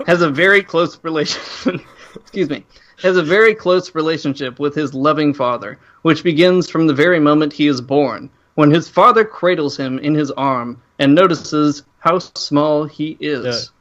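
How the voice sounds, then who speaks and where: A man's voice: a little high, measured pace, a bit bright, dull audio. A man co-hosting a podcast, talking casually and reading aloud in a joking way. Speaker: podcast co-host. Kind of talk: casual chat and mock-dramatic plot reading.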